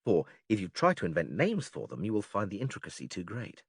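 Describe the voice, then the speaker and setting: A patient, didactic philosopher leads a formal dialogue step by step. His pitch swings very widely as he questions and instructs. The voice is noticeably husky and recorded close and dry. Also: huge pitch swings, fairly quick, no echo, heavily acted. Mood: instructive. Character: male philosopher stranger, patient, methodical, leading an argument. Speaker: audiobook actor reading philosophical dialogue. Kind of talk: dramatised classical dialogue reading.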